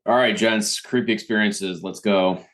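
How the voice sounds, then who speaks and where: A man's voice: fairly low pitch, fairly even intonation, moderate pace, fairly bright tone. A man talking casually as a podcast guest, informal and inquisitive. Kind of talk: casual chat.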